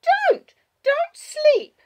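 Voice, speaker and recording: A woman voicing a small, innocent girl who is earnest and anxious, in a high childlike voice, in an audiobook story. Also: sing-song, extremely slow, darkish tone, full character voice. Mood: frightened, pleading.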